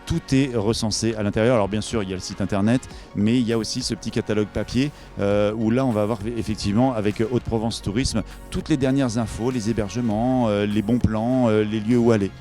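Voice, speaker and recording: A man with a lowish, very mellow voice hosts a promotional interview. He improvises in a halting way, searching for words, over faint background music. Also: fairly even intonation. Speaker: interviewer, event presenter.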